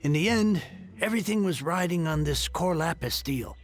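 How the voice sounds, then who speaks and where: A man's voice: fairly high pitch, lively intonation, moderate pace, lightly acted. A man voicing a grateful middle-aged male merchant heir in a video game, speaking earnestly and effusively, with a little grumbling.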